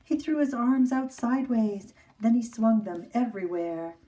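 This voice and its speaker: A woman teacher reading a picture book aloud to children in an expressive storybook manner. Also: on the high side, normal speed, far from the mic, poor audio.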